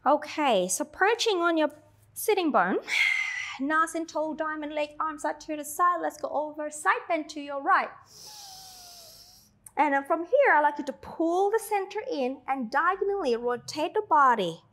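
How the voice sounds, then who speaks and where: A woman in her thirties with an Australian-tinged accent, guiding a Pilates class with slow, soothing cues and chatty asides. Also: high-pitched, expressive, fairly bright tone. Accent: Australian-tinged English. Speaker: Pilates instructor. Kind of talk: guided exercise instruction.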